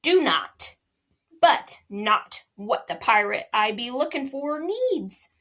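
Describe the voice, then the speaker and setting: Lady doing a gruff grumpy storybook pirate captain, growly and loud. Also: on the high side, sing-song, slow pace, exaggerated voice. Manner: growling, blustery. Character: gruff bossy old male pirate captain.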